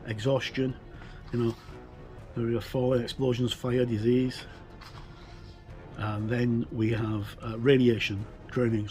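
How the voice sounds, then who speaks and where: A man's voice: medium pitch, a little mellow. A middle-aged man giving a casual, rambling commentary as he reviews a tabletop game book, explaining informally and drifting into asides.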